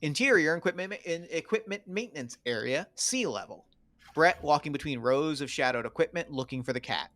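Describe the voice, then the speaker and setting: A man's voice: fairly high pitch, lively intonation, brisk, bright, forceful tone, no echo. A man narrating stage directions at a script table read in terse, clipped, dramatic phrases.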